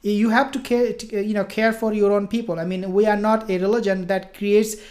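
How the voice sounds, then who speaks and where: A woman's voice: medium pitch, level tone, moderate pace, darkish tone. A woman giving informal religious and political commentary, speaking emphatically with repetition and rhetorical questions.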